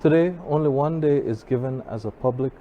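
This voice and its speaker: A man giving an informal history talk as a guest speaker, reading from notes in a measured, halting way. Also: mid-range voice, muffled recording. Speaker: guest speaker, college assistant registrar. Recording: clear background noise.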